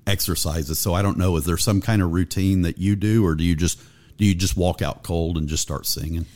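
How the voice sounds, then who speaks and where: A man's voice: deep voice, brisk. A man hosting a music podcast, chatting casually and asking long, rambling questions. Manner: relaxed, conversational, asks long rambling questions. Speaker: podcast host. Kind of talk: casual interview chat.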